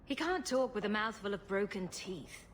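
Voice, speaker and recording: A woman plays a confident rogue heroine in a video game. Her medium-pitched voice moves freely up and down, wry and commanding, with faint noise in the background. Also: lively intonation, moderate pace, close to an everyday voice. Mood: cool sarcasm. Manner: sarcastic, cool, commanding. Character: confident, wry young female rogue adventurer. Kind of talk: video game cutscene acting.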